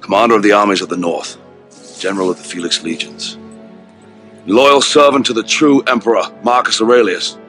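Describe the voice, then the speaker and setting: Grim roman general out for vengeance, an actor playing him, low solemn voice, quiet score behind. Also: male voice, a little fast, faint music behind, lightly acted. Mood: defiant. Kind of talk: film drama clip. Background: faint film score.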